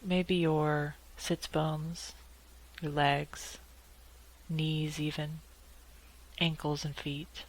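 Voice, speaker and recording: Very slow-paced woman guiding meditation. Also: deep voice, low-quality recording. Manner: calm, measured delivery with long pauses. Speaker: meditation guide. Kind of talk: guided meditation.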